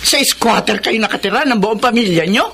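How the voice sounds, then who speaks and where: A woman's voice: on the high side, a little fast, fairly bright tone. A woman acting as a stern, domineering middle-aged Filipino mother in a radio drama, with a haughty, scolding and volatile delivery. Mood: scornful, outraged. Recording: faint background noise.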